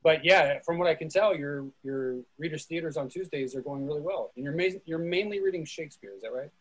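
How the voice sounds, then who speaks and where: A man's voice: a little high, a little fast, husky, low-quality recording. A man hosting an online readers theatre, moderating a casual discussion over a video call. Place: indoors at home on a group video call.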